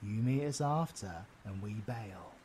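An actor playing a cheeky, foul-mouthed British supervillain rogue in an audio roleplay, slangy and excitable in banter. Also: medium pitch, a little slow, a little hiss or hum, close to an everyday voice. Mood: scheming.

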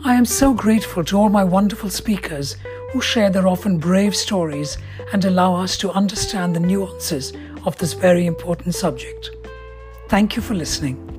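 A woman's voice: lowish voice, normal speed, music in the background. A woman from India hosting an interview podcast, asking curious follow-up questions and often repeating words as she goes. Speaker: podcast host.

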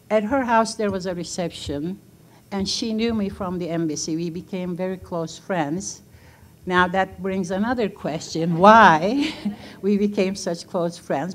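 A woman's voice: mid-range voice, a little hiss or hum. A Turkish-born woman in her sixties, a non-native English speaker, telling personal stories on a museum panel with frequent fillers and laughs, into a table mic on a stage. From Turkey. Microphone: table mic on stand.

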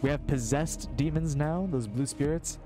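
A man's voice: a little high, normal speed. A young man around twenty, chatting casually with his live-stream chat while playing a game, reading messages aloud and reacting to the action. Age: young adult. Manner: chatty, reads chat aloud, swears and yelps at sudden enemies. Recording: game music playing under the voice.

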